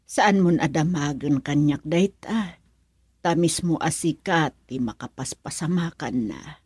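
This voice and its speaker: Husky, low-voiced worried mother played by a woman. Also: unhurried, darkish tone, no echo. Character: worried, protective poor mother of a grown son. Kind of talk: radio drama acting.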